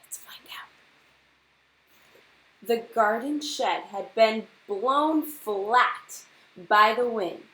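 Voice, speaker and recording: A woman reading a children's storybook aloud like a teacher to a class, expressively and deliberately, putting questions straight to her young listeners. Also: fairly high pitch, sharp tone, low-quality recording.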